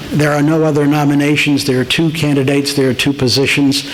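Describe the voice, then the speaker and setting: A man moderating a town meeting, brisk, formal and procedural. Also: a little high, steady tone. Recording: crowded hall with clear crowd noise.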